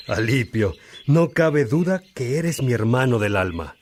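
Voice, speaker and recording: A man playing a thoughtful, fraternal monk and preacher in a radio drama, speaking in a measured, didactic way. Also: medium pitch, sing-song, darkish tone. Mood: friendly, reflective. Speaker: actor in a religious radio drama.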